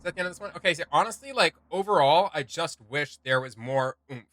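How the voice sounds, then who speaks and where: A man hosting a reaction show, giving informal, opinionated critique in casual chat, halting as he searches for words. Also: a little high, expressive, bright, forceful tone, slight background noise, low-quality recording.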